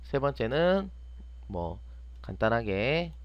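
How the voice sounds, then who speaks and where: Lively-pitched male tutorial instructor, slightly muffled. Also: slow, no room sound. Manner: asks and answers own questions. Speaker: teacher, online programming instructor. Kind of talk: step-by-step screen tutorial, unscripted.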